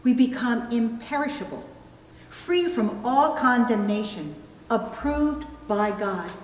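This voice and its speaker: A middle-aged woman pastor preaching a sermon, phrasing deliberately and pausing for emphasis. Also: a little high, expressive, slight background noise. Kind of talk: sermon with illustrative stories. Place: church pulpit indoors, recorded from a distance. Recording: echoey hall, long reverb.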